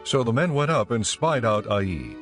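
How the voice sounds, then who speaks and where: A man narrating scripture as a scripted story, in a measured storytelling manner. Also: mid-range voice, very animated, over music.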